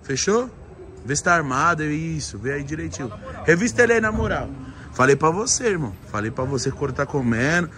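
A man's voice: a little high, very animated, fairly quick, fairly bright tone, a little hiss or hum. A young man hosting a live vlog, slangy and mock-serious as he eggs his friends on. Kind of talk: casual live-stream banter. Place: restaurant and street outside. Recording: busy restaurant crowd behind.

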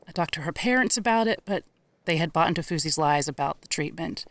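A woman's voice: sits low, animated, fast talker, no echo. A woman playing an earnest, worried protector in an audio drama.

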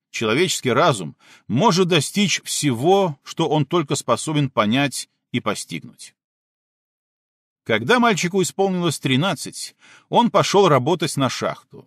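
A man reading an audiobook as a scripted narration, measured and clearly articulated. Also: on the high side, very animated, fairly bright tone, dry recording, clean studio sound.

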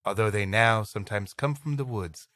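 Male podcast co-host, playful story reader, bright and animated, dry recording. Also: lowish voice, normal speed, dry recording. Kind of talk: reading an old adventure story aloud with comic banter.

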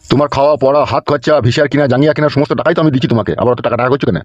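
A man's voice: mid-range voice, rapid-fire, dry recording, close to an everyday voice. A man voicing a sly, lecherous village lover in a comedy cartoon, wheedling and blustering, in lo-fi sound. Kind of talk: amateur comedy cartoon dialogue. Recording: dull lo-fi sound with music.

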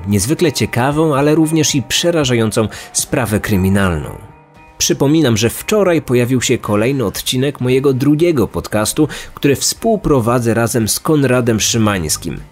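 A man hosting a podcast, reading a scripted true-crime narration. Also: mid-range voice. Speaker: podcast host. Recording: music under the voice. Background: background music.

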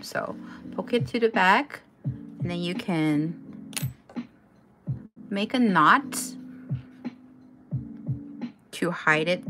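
A woman from Taiwan, a native Chinese speaker with a Taiwanese accent, hosting a casual live crochet tutorial with informal step-by-step instructions. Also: lowish voice. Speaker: craft tutorial host.

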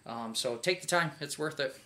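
A man's voice: fairly high pitch, moderate pace, pushed and bright, a little hiss or hum. An American man in his forties speaking as a mechanic giving an informal hands-on tutorial, conversational and instructive, often checking in with questions. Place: home garage workshop.

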